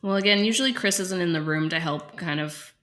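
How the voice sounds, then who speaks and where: A woman's voice: lowish voice, a little fast, a bit bright, close to an everyday voice. A woman playing a concerned, slightly overwhelmed special education teacher, talking candidly and hesitantly in naturalistic dialogue. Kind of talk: naturalistic acted interview dialogue.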